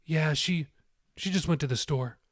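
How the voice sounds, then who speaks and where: A man's voice: on the high side, moderate pace, dry recording, clean studio sound, close to an everyday voice. Subdued, troubled daughter, read by a man. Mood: hesitant. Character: troubled, apologetic grown daughter. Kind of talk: audiobook character dialogue.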